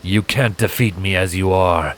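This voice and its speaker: A man voicing a stern, confident male pegasus assassin in an audio story, speaking formally and deliberately. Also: deep voice, a little hiss or hum, close to an everyday voice. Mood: cold, confident. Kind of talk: fan fiction audio story acting.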